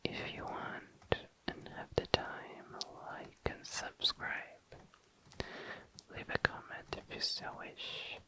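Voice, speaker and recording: ASMR creator, breathy whisper, very slow pace, soft craft commentary, soothing repetition. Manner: soft, repeats words soothingly. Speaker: ASMR video creator, host. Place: indoors at a desk.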